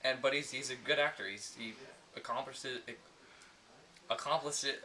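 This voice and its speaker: A young man joking about his video partner in a mock behind-the-scenes interview, halting with many restarts. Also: medium pitch, pushed and bright. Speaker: video creator.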